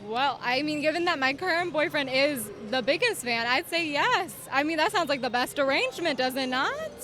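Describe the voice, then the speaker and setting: A woman's voice: high voice, animated, moderate pace, pushed and bright. A young woman from Cuba with a slight Southern US accent, her first language Spanish, chatting upbeat and polite as a pageant contestant. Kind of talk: casual interview answers. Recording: music playing behind.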